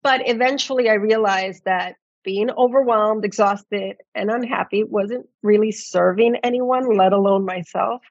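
A woman's voice: mid-range voice, fairly even intonation, unhurried, few pauses, dry recording, muffled recording. American woman, reflective podcast guest. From New York City, United States. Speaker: podcast guest, magazine editor. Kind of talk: conversational interview.

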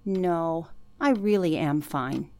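Woman acting, old radio play witness, lowish pitch, very slow, reflective, reserved. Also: a little mellow, dry recording. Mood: polite, reserved. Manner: hesitant, reflective.

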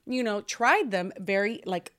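A woman's voice: mid-range voice, lively intonation, measured pace, a bit bright. A young woman co-hosting a podcast, talking casually and emphatically with a lot of swearing. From second-generation Cuban American. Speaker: podcast co-host. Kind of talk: casual chat.

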